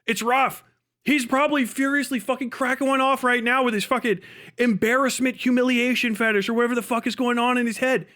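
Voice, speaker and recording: A man's voice: extremely high pitch, fairly even intonation, a little fast, sharp tone. A man ranting on a casual livestream, mocking and incredulous, swearing heavily as he reacts to a video.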